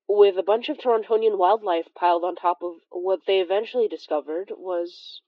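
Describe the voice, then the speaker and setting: Actress as a low-voiced, wry young immigrant woman. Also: no room sound, lo-fi sound, close to an everyday voice. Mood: grave, relieved. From Philippines. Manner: conversational, hesitant, wry asides. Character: young Filipino immigrant woman, wry, haunted monster-fighter recounting horrors. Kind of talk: audio drama first-person confessional narration.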